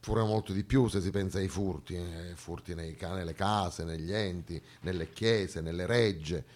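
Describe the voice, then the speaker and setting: A man performing a rhetorical stage monologue, emphatic and swinging between hushed and loud delivery. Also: low-pitched, moderate pace. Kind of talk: theatrical monologue on history.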